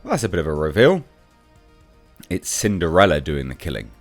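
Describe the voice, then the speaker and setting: Male reviewer, low-pitched voice, blunt sceptical off-the-cuff commentary over clear background music. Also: sing-song, a little fast. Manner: blunt, sceptical, swears casually. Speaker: film reviewer, channel host. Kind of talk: casual off-the-cuff commentary on film news.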